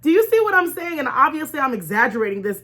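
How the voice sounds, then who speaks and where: A woman hosting an informal faith talk in an animated, conversational way, stressing and repeating key phrases and acting out imagined remarks. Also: high-pitched, fast. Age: adult with young children. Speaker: host of a faith storytime show.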